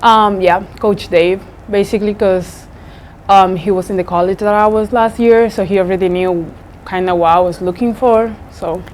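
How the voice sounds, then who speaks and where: A woman's voice: medium pitch, steady tone, normal speed, a little mellow. A woman in her early twenties from Spain answers interview questions casually in English, which is not her first language. Her phrasing is loose and informal, with a little ambient noise behind her. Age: early 20s. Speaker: student basketball player.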